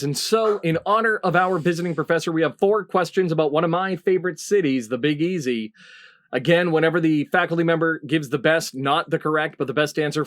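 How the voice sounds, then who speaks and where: A middle-aged man hosts a comedy panel game over a video call. His delivery is announcer-like and clearly phrased, with a very lively, swooping pitch. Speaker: game show host.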